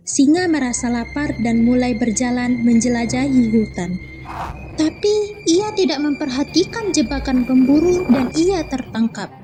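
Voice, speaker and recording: A young woman from Indonesia, a student, calmly narrating a children's fable. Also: sits high. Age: young adult. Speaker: student storyteller. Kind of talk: scripted children's story narration.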